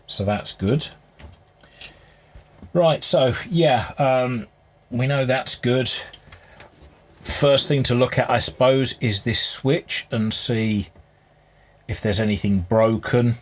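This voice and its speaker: A man with a husky voice giving casual, unscripted hands-on repair commentary, musing aloud as he works. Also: mid-range voice, slow pace, pauses often. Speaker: hobbyist restorer presenting his repair.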